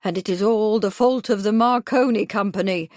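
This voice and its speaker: A woman voicing an imperious elderly spinster with a high, shrill voice, haughty and indignant. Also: moderate pace, a little mellow, no echo, clean studio sound, lightly acted. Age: older.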